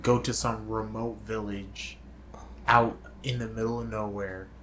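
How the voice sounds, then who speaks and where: A man's voice: fairly low pitch, level tone, measured pace, fairly bright tone. A man co-hosting a podcast, speaking in casual, conversational banter. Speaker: podcast co-host. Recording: faint ambient room noise.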